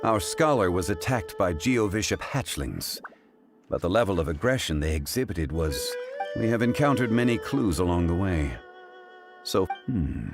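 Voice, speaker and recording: Grave courteous game character, low voice, formal measured phrasing, composed, music behind. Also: male voice, sing-song. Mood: grave, pensive. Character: composed, dignified, scholarly man, grave and courteous. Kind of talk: video game character acting.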